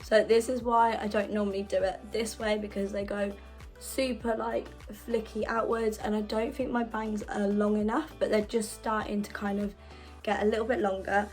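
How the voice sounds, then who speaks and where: A young woman, husky because she is unwell, chatting casually through a hair tutorial at home. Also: mid-range voice, level tone, normal speed, a little mellow, soft background music. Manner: chatty, self-deprecating. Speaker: beauty vlogger.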